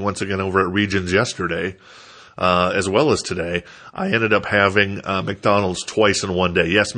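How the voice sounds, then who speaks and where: A man's voice: on the low side, sharp tone. A stuffed-up man, rambling casually and self-deprecatingly as he hosts a solo podcast. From Minnesota, United States. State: stuffed up, congested. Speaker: podcast host.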